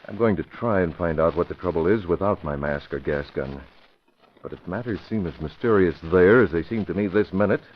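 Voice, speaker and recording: An actor playing a calm, decisive masked hero in 1940s radio acting. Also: male voice, low-pitched, a little fast, natural voice. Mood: determined. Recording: dull vintage radio broadcast, faint hiss.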